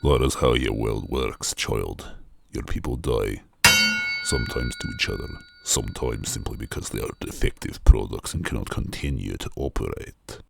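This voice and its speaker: A man's voice: very deep voice, creaky, background noise, pitched below the performer's own voice, full character voice. A man voicing an enormous metal smith god in a story reading, stony, imperious and menacing. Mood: cold, threatening. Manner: stony, slow-burning, imperious.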